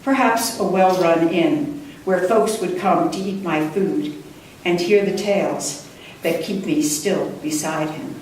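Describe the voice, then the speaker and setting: A woman poet reading her own work live at a book launch, with a measured, deliberate delivery and careful pauses. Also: on the low side, darkish tone. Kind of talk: live poetry reading with brief introductions. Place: indoor room before a live audience. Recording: distant mic, echoey room, faint noise.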